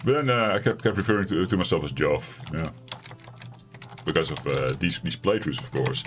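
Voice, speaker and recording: A man with a raspy voice streaming a survival game from home, chatting casually and rambling in a self-deprecating way. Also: low voice, normal speed, faint music behind. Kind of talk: casual let's-play commentary.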